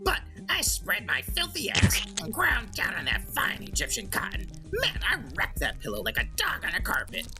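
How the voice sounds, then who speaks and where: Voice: mid-range voice, huge pitch swings, moderate pace, few pauses, in a whisper, over music. An actor voicing a sly, crude male goblin who hosts a comedic radio call-in show, smirking and rambling. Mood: shamelessly unrepentant. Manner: smirking, self-justifying, rambling with drawn hesitations. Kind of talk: comedic in-character monologue and banter.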